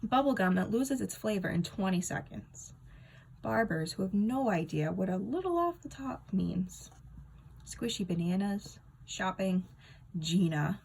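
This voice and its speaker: A woman reading a children's book aloud as a storyteller, with expressive, dramatic and emphatic delivery. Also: medium pitch, unhurried, gravelly, a little hiss or hum.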